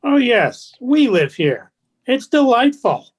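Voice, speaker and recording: A woman playing an eerie, delighted night dweller in a radio play, with theatrical, drawn-out delivery. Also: a little high, big pitch swings, husky, full character voice. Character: pale, eerie, delighted department-store dweller.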